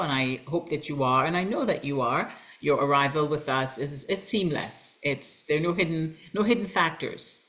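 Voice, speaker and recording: A woman's voice: low-pitched, fairly bright tone. An upbeat, welcoming woman hosts an online information session for a college. She speaks over a video call mic and fills her sentences with ums and uhs. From Trinidad and Tobago. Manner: upbeat and welcoming, with frequent fillers. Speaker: college recruitment representative and host.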